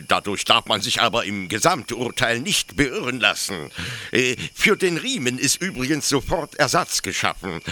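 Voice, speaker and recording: A fanatical officer of a penal colony, acted by a man in a literary radio play. The voice is very sharp and tense and swings widely in pitch. He declaims emphatically and proudly at a slow pace. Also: full character voice. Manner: emphatic, declamatory, swooping delivery. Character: fanatical male colonial officer, proud of his execution machine.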